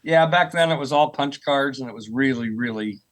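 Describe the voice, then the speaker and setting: An American man in his sixties with a medium-pitched voice speaks as a guest in an interview. He explains himself informally, like a professor chatting, and often checks in with the listener. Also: normal speed. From United States, rural Oklahoma. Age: 60s. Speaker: guest, earth sciences professor. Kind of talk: conversational interview answers.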